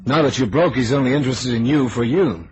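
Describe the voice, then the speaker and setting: An actor playing a breezy, wry, wisecracking private detective in an old-time radio drama. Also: male voice, medium pitch, fairly quick, husky, faint music behind. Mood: wry, teasing. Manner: wry, teasing, slangy. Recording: vintage radio recording.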